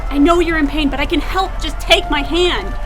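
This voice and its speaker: A woman acting a young female gladiator in an audio drama, with a very high, emotional voice. She is a weary idealist, earnest and defiant, with music playing behind her. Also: moderate pace, lightly acted. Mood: desperate.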